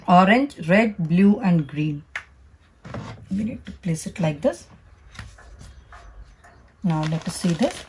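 Woman calmly narrating a toy unboxing. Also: medium pitch, a little hiss or hum. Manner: slow, careful, instructional. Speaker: toy reviewer. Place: indoors, at a table. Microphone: off-camera voice, hands only in frame.

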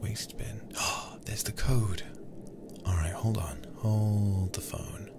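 A man streaming a game in ASMR style, murmuring in a hushed, breathy, gravelly whisper close to the microphone. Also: fairly low pitch, fairly even intonation, takes it slowly. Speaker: livestream host, gamer.

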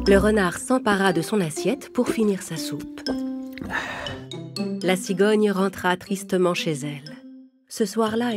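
A woman narrating a children's fable in a calm, clear storytelling manner. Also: on the low side. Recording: music playing under narration.

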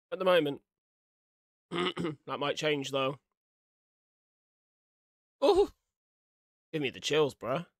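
A young man reacts casually on a close desktop mic in a small room at home. His voice sits a little high and he takes his time, joking and exclaiming in dread as he goes. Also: no echo. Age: young adult. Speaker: video creator reacting to horror. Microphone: large desktop condenser microphone up close.

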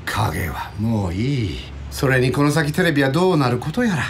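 A man playing a playful, weary middle-aged villain actor in a radio drama, swinging theatrically between low murmurs and high whines. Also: very animated, moderate pace, slight background noise. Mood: dejected, whiny.

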